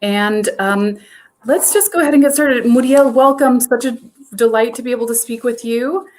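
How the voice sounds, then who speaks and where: A woman's voice: a little high, moderate pace, a little mellow. Encouraging woman curator interviewer. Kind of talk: museum talk introduction and interview.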